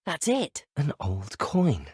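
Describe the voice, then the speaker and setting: An actor with a British accent playing a polite, earnest, curious teenage wizard student in a video game, speaking in a well-spoken, measured manner. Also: male voice, fairly high pitch, huge pitch swings, a little mellow, slightly rough, no room sound, studio quality, heavily acted. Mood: musing, determined. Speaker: video game player character. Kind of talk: scripted game voice acting.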